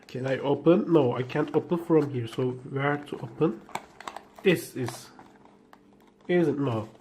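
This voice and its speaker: A man whose first language is Turkish, unboxing gadgets in a halting, filler-heavy way, just woken up. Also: mid-range voice, darkish tone, a little hiss or hum. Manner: hesitant, halting, with many fillers and restarts. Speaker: tech vlogger. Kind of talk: unboxing commentary.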